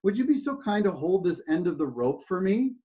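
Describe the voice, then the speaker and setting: A man voicing a clingy, insistent stranger dangling from a rope, speaking slowly and pleadingly. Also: high-pitched, sing-song, mellow, voice pushed up. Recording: dull lo-fi recording.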